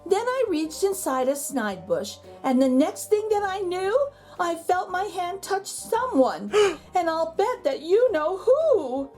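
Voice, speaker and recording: A woman's voice: very high-pitched, very animated, takes it slowly, a little mellow, soft background music, voice pushed up, heavily acted. A woman voicing a jittery, easily frightened picture-book narrator, telling a rhyming tale with suspenseful, dramatic emphasis. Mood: panicked.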